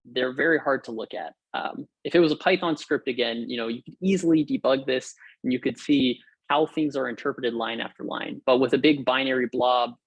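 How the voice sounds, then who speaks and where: A man's voice: mid-range voice, steady tone, fairly quick, slightly rough, dry recording, poor audio. A young man from Hawaii giving an upbeat, informal technical talk over a video call, with frequent fillers and restarts. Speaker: guest lecturer. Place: room at home or office.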